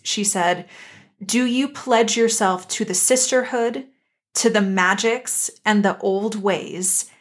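A woman's voice: medium pitch, slow pace. Reflective woman co-hosting a podcast. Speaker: podcast co-host. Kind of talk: casual discussion of an animated series.

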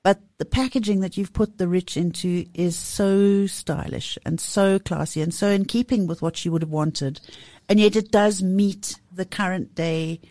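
A woman hosting a radio chat, effusive and playfully teasing her guest. Her voice sits a little low for a woman, and the sound is close and dry with no room echo. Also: mellow. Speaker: radio show host. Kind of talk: casual chat interview.

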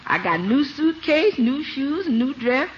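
An actress playing an exuberant, warm-hearted household cook with a folksy manner in a 1950s radio comedy. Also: female voice, sits high, sing-song, takes it slowly, exaggerated voice. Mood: excited, proud. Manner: folksy, speaks of herself by name. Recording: muffled old radio recording, faint hiss.

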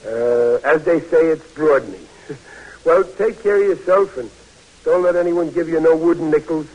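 An actor playing a big, good-natured, bashful young army private, talking in a folksy, stammering way in 1940s radio drama. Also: male voice, fairly high pitch, moderate pace, darkish tone, lo-fi sound, natural voice. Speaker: soldier.

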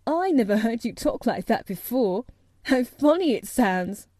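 A woman voicing a bedridden boy who speaks with excited wonder. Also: a little high, measured pace, no echo, studio quality. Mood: delighted. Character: sickly boy, suddenly delighted.

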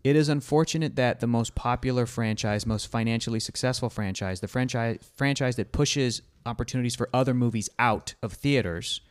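Male podcast host, 30s, mid-pitched, animated, argumentative, keeps steering the chat. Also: a little fast, hardly any pauses. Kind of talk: casual chat.

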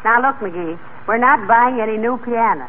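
A woman's voice: on the high side, moderate pace, lightly acted. An actress playing a sensible wife in 1940s radio comedy, dry, practical and teasing as she deflates her husband. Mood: dryly scolding. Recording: narrow-band, noisy vintage radio recording.

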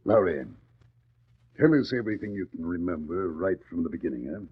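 A gruff, businesslike police inspector in classic radio drama. The voice is deep and husky, on a dull vintage recording. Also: male voice, expressive, fairly quick. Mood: probing, serious. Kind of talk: old-time radio acting.

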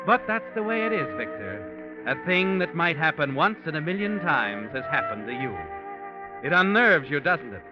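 An actor playing a sinister, knowing narrator, insinuating and taunting in dramatic 1940s radio suspense style. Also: male voice, on the high side, very animated, normal speed. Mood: taunting, ominous. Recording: dull vintage radio with loud music.

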